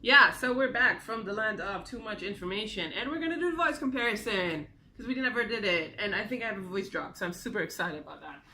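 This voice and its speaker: Bright-voiced native Hebrew speaker in their thirties. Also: medium pitch, expressive, fast, few pauses. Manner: candid, self-deprecating, jokey. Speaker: video blogger. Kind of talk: casual personal vlog to camera.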